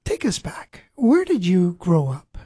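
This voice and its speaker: Slow, mellow-voiced woman hosting an interview. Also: fairly low pitch, wildly swooping pitch, slightly rough. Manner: earnest, gently devotional delivery. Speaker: host. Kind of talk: radio interview, scripted intro.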